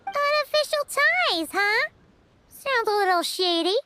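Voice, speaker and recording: A woman voicing a small, perky, blunt girl companion in a video game, speaking like an excitable child. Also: very high-pitched, measured pace, slight background noise, full character voice. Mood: curious. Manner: refers to herself in third person, perky. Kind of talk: video game character dialogue. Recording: music playing behind.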